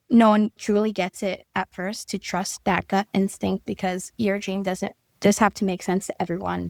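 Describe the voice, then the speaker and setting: Female podcast guest, sincere and encouraging, mid pitch, dry sound. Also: no room sound. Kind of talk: encouraging advice.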